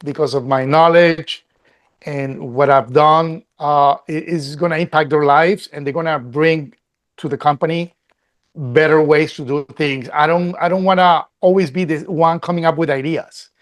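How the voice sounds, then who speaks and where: A man's voice: a little high, fairly even intonation, normal speed. A middle-aged man from Colombia, a company CEO answering interview questions earnestly, often restarting and repeating words.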